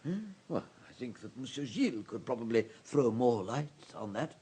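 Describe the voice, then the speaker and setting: An actor in a radio drama playing a reserved society doctor with a measured, formal and guarded manner. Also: male voice, mid-range voice, animated, warm tone, husky. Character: reserved, professional male society doctor, careful and evasive.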